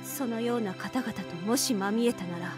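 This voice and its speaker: A woman playing a devoted young half-oni samurai archer in a mobile game, speaking formal, reverent Japanese in a soft voice. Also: a little high, normal speed, natural voice. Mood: wistful, grave. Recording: studio voice over game music.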